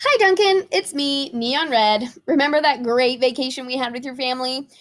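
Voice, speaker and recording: A woman's voice: high-pitched, moderate pace, close to an everyday voice. A woman voicing a chatty forgotten crayon in a storybook, perky and breezily resentful. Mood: perky, reproachful.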